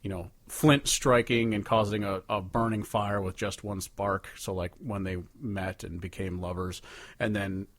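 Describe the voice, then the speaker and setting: A man's voice: on the low side, steady tone, unhurried, dry recording. A man co-hosting a casual music podcast, talking in an informal, rambling, sweary way. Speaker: podcast co-host.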